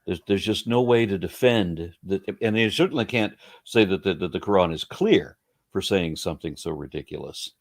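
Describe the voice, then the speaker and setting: Male guest, low voice, dry sound, wry debater. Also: normal speed, no echo. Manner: wry, argumentative, talks in long explanatory runs. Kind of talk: casual video interview.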